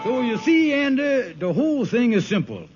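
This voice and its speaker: A man's voice: extremely high pitch, very animated, normal speed, faint music behind. An actor playing a scheming, broke, blustering married man who stalls and wheedles in ungrammatical talk on an old radio sitcom. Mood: smooth, conniving. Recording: muffled, noisy old radio recording.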